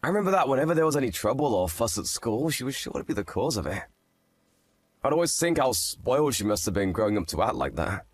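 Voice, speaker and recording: A man's voice: medium pitch, sing-song, quick pace, dry recording. A man playing a candid, gossipy, skeptical guy in a scripted story drama. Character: skeptical, gossipy man, old high-school acquaintance.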